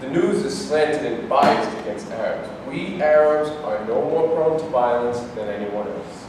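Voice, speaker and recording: A man's voice: mid-range voice, animated, a little slow, recorded from a distance, close to an everyday voice. Guy playing a stubborn indignant arguer in a stage play reading, super echoey hall, noisy recording. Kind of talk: staged reading of scripted dialogue.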